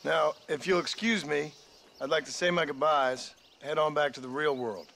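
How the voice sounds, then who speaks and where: A gruff, laconic cowboy speaks plainly and dryly in a gravelly, slightly raised voice with a bright edge. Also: male voice, fairly high pitch, moderate pace, slight background noise. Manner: laconic, dry and plain-spoken. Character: gruff, terse male cowboy gunslinger.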